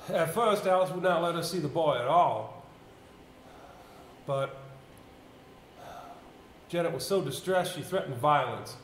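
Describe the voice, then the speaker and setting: Burdened, reluctant villager played by a male stage actor, halting dramatic delivery, higher pitch, echoey theater. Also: expressive. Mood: sorrowful recounting. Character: troubled, reluctant local man burdened by village secrets. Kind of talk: live amateur stage play acting. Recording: echoey, noisy amateur theater stage recording.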